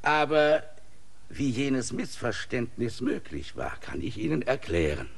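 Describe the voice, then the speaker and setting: Radio play: gouty old mayor, gravelly, husky, politely evasive. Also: male voice, medium pitch, sing-song, unhurried, heavily acted. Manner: courteous, rambling, officially evasive. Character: older bedridden village mayor, gout-ridden, long-winded. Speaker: village mayor.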